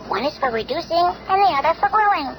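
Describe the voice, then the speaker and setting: A man playing a tiny, calm, ant-sized scientist superhero in a 1970s radio drama, speaking precisely in a small voice. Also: quick pace, faint music behind. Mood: calm, helpful. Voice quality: small, high-pitched miniature voice. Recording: noisy old 1970s radio recording.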